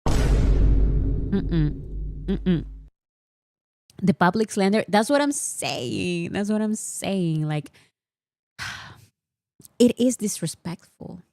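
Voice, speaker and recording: A woman's voice: mid-range voice, sing-song, drawn-out words, long pauses, dark tone, dry recording, studio quality. A woman whose first language is Spanish, chatting casually to camera as a fan vlogger, mock-serious and laughing often. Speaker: K-pop vlogger.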